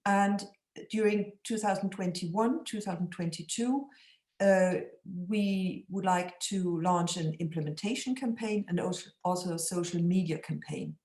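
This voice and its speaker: A woman speaking as a guest in an online panel discussion, measured and careful, with frequent restarts. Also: fairly low pitch, darkish tone. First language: German. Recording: echoey room.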